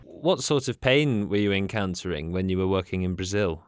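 A man narrating an introduction and asking interview questions in a measured way. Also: sits low, expressive, smooth, no echo, studio quality.

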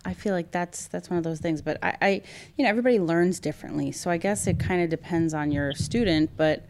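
A woman's voice: on the low side, fairly quick, a little mellow, a little hiss or hum. A woman from Brooklyn, an EMS worker talking casually and hesitantly, with many fillers and restarts. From Brooklyn, New York. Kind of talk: casual podcast conversation.

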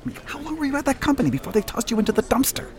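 An actor playing a brash, lovestruck head of a celebration foundation in a satirical audio drama, cocky and outspoken. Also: male voice, extremely high pitch, animated, racing. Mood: urgent, pleading. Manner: cocky, profane, impassioned in private, folksy showman on stage.

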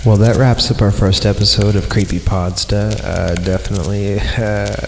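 A man hosting a horror podcast, reading creepy stories aloud in an irreverent, halting way and stumbling over the text. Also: on the low side, steady tone, vocal fry, slight background noise. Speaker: podcast host and announcer. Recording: long reverb on voice, music underneath. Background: eerie horror film score.

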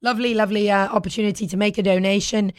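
Husky British woman hosting, close and dry. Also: mid-range voice, steady tone, racing, no echo. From Britain. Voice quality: husky, recovering from voice loss. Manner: playful, bantering. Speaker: talk show host. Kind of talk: casual chat with show announcements.

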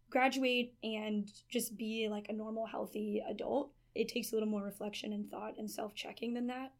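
A woman's voice: a little high, a little mellow. A twenty-year-old woman student who answers interview questions in an earnest, thoughtful way. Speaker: theology student.

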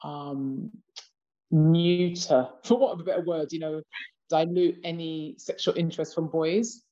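A woman's voice: deep voice, fairly even intonation, a little slow, dark tone, highs cut off. A British woman in her fifties talking reflectively in a casual conversation, with many "you know" asides. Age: 50s. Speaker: discussion participant.

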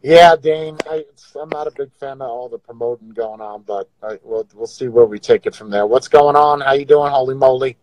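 Joking livestream host, dry close sound. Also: male voice, medium pitch, normal speed, few pauses, darkish tone, no echo, low-quality recording. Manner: joking, teasing and crude. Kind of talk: casual livestream chat with viewers.

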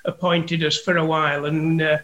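Male guest, fairly high voice, relaxed storytelling. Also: moderate pace, dull audio. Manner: relaxed, recalls stories with restarts. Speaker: guest, private investigator. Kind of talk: conversational interview.

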